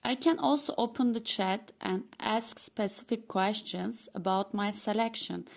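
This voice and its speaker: A woman whose first language is Turkish, presenting a scripted walkthrough of software she built. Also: medium pitch, a little slow, vocal fry. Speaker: developer demoing her software. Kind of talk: scripted screen-recording walkthrough.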